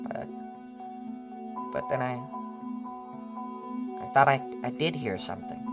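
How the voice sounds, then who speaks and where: A woman's voice: very deep voice, expressive, takes it slowly, heavily acted. A woman playing a guarded, uneasy suburban neighbor who speaks tersely and hesitantly in an audio drama. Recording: muffled sound under clear music. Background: music.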